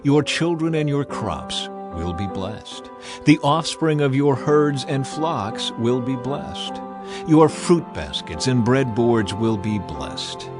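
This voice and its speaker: A solemn, commanding male prophet, voiced by a man, addresses his people in dramatized scripture. His mid-pitched voice is stern, declamatory and deliberate, with music clearly audible behind it. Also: expressive. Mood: solemn, assuring.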